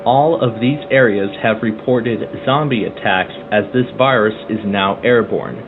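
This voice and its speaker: A man plays a solemn emergency broadcast announcer in an apocalyptic audio drama. The delivery is formal and measured, at a middle pitch. It comes through a narrow, phone-like band with clear noise behind it. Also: lightly acted. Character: grave male emergency alert announcer in a zombie outbreak.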